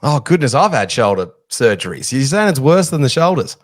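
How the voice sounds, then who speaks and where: A man's voice: mid-range voice, very animated, fairly quick, no echo, clean studio sound. A man hosting a casual sports podcast, chatty and joking at his own expense. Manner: chatty, jokey, self-deprecating. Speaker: podcast host.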